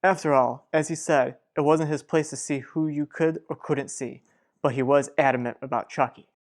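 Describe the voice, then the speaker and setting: A frank, steady family friend in a soap opera radio play, a man voiced by a woman. The voice is strikingly low for a woman and speaks with serious, measured reassurance. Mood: grave, measured.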